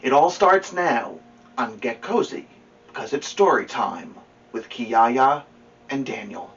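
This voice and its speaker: A man reads a picture book aloud as a story time host, his voice lively and swooping, taken at a slow pace. He sounds a little away from the mic, with expressive delivery and playful asides to a young listener. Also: medium pitch, vocal fry. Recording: dull, distant mic with music behind.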